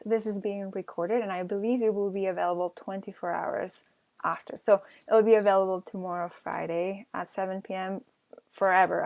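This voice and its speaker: A woman from Peru, an artist answering questions in an online talk, with many pauses, ums and restarts. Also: medium pitch, gravelly. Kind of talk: casual Q&A answers.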